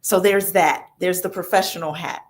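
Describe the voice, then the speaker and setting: A woman's voice: lowish voice, fairly even intonation, normal speed. A woman with a Southern US accent chatting casually as a talk show guest, folksy and emphatic, repeating phrases for emphasis. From the southern United States. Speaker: regular guest, nonprofit executive director. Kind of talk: casual chat and personal updates.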